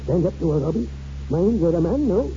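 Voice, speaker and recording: A boastful old Scottish grandpa in a vintage radio play. He speaks grandly, like a born showman, in a high but very mellow voice. The recording is muffled. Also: expressive, brisk, a little hiss or hum. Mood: proud, rousing. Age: older. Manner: grandiloquent, theatrical. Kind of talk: 1940s radio acting.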